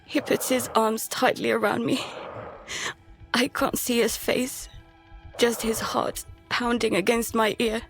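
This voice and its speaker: A woman plays an anxious, guilt-ridden daughter in a tense audio drama. Her voice is hushed and halting, measured in pace, with a faint creak and music underneath. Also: on the high side, level tone. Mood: tense, shaken. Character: frightened, guilt-ridden daughter hiding from infection on a boat. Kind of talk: audio drama, first-person present-tense narration and dialogue. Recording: music behind the voice.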